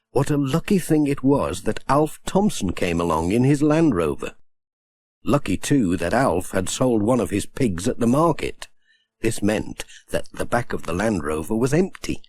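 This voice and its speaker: A man narrates a children's audiobook in a fairly low voice. His delivery is very lively, rising and falling expressively as he reads the scripted story.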